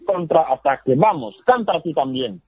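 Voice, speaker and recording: A man's voice: on the high side, animated, moderate pace, husky, narrow, tinny audio. A man hosting a radio program and chatting with callers, upbeat and urging others to join in. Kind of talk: lively call-in chat.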